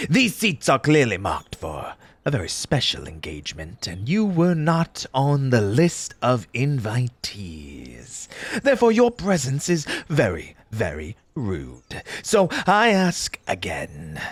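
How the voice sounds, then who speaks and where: A man playing an eccentric, fussy tea-party host in an audio roleplay, pompous and formal in old-fashioned diction, with a breathy, husky voice and an undertone of grief. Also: mid-range voice, no room sound, full character voice. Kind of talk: theatrical character audio roleplay.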